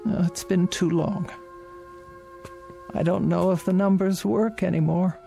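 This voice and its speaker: Creaky, frail old woman. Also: lowish voice, steady tone, a little slow, mellow, faint music behind. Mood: frail, despairing. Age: older. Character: frail, lonely, fretful old woman confined to her house. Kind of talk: radio drama acting.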